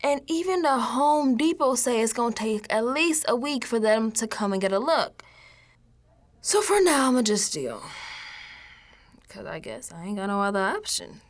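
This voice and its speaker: Actress doing young american woman in an audio drama, streetwise n uneasy, slangy confiding talk, highish voice, really up and down. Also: very animated, moderate pace. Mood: resigned, baffled. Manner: colloquial, sassy, emphatic, dropping into whispers.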